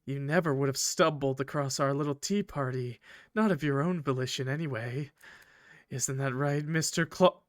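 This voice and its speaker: A man voicing a possessive, coldly polite villain from a visual novel, speaking formally and theatrically in measured, condescending phrases. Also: medium pitch, dry recording, studio quality, close to an everyday voice. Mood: mock soothing. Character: possessive, coldly polite male villain, eerie train conductor. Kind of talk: visual novel character reading.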